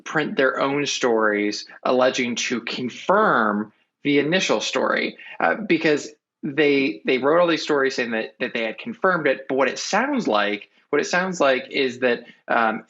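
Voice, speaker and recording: American male correspondent, bright forceful voice, measured earnest commentary, muffled lo-fi recording. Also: medium pitch. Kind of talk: conversational political commentary. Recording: dull, lo-fi audio.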